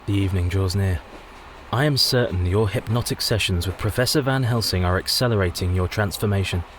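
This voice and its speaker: Low, earnest Victorian husband played by a man. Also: brisk, slight background noise, natural voice. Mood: tender, grave. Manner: formal, earnest. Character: earnest young Victorian husband, protective, anguished. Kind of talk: radio play acting.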